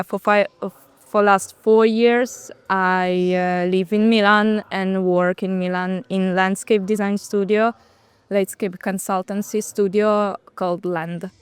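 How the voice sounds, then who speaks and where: Russian-born woman talking slow and unsure, lots of ums, very clean dry sound. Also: mid-range voice, clean tone, no room sound. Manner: hesitant, many fillers and restarts, soft laughs. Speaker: architect, interview guest. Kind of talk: casual interview conversation. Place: outdoors on a bench at a city event.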